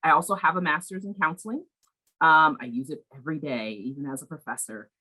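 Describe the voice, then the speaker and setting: Deep-voiced, bright, chatty woman professor. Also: a little fast, low-quality recording. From Virginia. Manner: chatty, enthusiastic, many fillers. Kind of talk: introducing herself on a panel.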